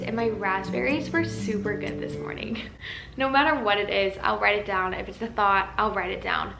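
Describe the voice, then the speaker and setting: Tired, slightly raspy young woman vlogging. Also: mid-range voice, a bit bright, echoey room. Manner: chatty, rambling, earnest. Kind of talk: casual chat to camera. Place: large empty house used as a wedding venue.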